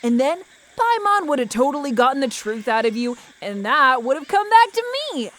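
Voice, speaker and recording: Performer as a high-voiced, cheeky incubus demon. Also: male voice, normal speed, slight background noise, exaggerated voice. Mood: pleading, defensive. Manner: animated, teasing.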